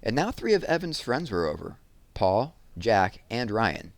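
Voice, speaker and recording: A man's voice: lowish voice, very animated, measured pace, dry recording. A man reading a children's novel aloud as a storytelling narrator.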